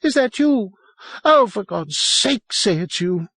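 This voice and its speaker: A shrill, hysterical woman, acted by a male storyteller, frightened and snappish. The pitch is pushed high and swings about with breathless, demanding emphasis, as in an audiobook melodrama. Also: big pitch swings, normal speed, slightly rough, no room sound. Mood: shrieking panic. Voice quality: piercing, shrill.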